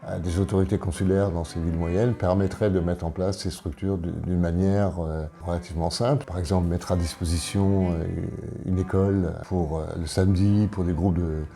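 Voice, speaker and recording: A man of sixty-two from Paris, presenting himself as an election candidate in an interview, speaking in a halting, deliberate way. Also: low-pitched, steady tone, warm tone, soft background music. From Paris, France. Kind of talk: interview answers, self-presentation.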